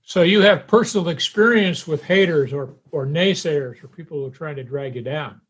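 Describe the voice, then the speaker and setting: Husky older man, slightly high voice. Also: normal speed. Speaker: podcast host, interviewer. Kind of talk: conversational interview.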